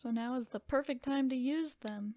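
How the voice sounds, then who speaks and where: A woman's voice: sits high, moderate pace, a little mellow, no echo. A woman co-hosting a podcast with casual, teasing, incredulous banter. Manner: reactive, teasing, incredulous interjections. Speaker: podcast co-host.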